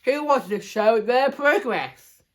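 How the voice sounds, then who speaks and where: A man voicing a brisk, exasperated female primary school teacher in a storybook, scolding in a halting way. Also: very high voice, animated, pitched above the performer's own voice, full character voice. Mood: brisk, upbeat.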